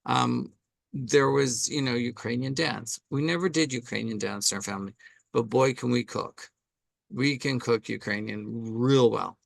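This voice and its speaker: Middle-aged man, deep fry in the voice, chatty and emphatic, dry close recording. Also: dry recording. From Canada. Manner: animated, frequent "right?" and "um". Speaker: artist interviewee. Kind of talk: conversational interview answers.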